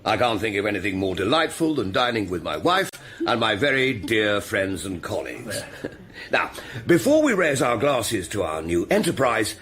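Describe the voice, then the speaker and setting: A man's voice: on the low side, very animated, moderate pace, fairly bright tone. An actor playing a rich older businessman making a genial, formal dinner speech in a radio drama. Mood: genial, hearty.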